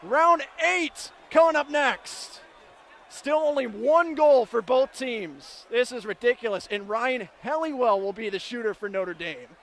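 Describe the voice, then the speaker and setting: An American man doing live radio play-by-play sports commentary, ready to build from measured description to excited calls. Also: extremely high pitch, creaky, a little hiss or hum. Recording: live stadium ambience behind the voice. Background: sports venue ambience.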